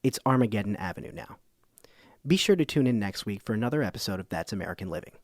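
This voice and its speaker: An actor playing a wry, measured radio documentary host, narrating in a deadpan manner. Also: male voice, fairly low pitch, dry recording. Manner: dry, deadpan.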